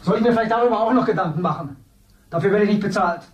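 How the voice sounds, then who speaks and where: An actor in a 1970s radio drama, playing a self-important politician who is also an actor. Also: male voice, sits high, rapid-fire, lightly acted. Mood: irritable. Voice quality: defensive and self-important, with lively swings. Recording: echoey room, voice at a distance.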